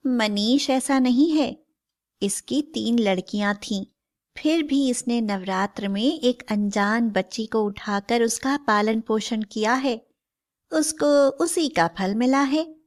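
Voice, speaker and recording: Woman doing a stern goddess, preachy storybook voice, slow and a bit high. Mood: stern rebuke. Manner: admonishing, preachy. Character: stern, righteous mother goddess admonishing a sinner. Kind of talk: scripted moral storybook acting.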